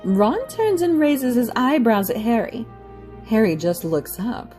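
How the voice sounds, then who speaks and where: A woman's voice: a little high, big pitch swings, unhurried, a little mellow, faint music behind. A woman reading scripted story narration for an audio drama.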